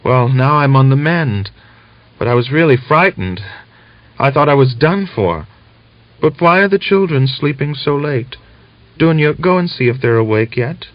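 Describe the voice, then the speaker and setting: A man reading an audiobook, voicing a gentle, ailing young peasant mother in a raised, softened voice. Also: mid-range voice, expressive, unhurried, dry recording, pitched above the performer's own voice, lightly acted. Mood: tender. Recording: dull lo-fi audiobook recording.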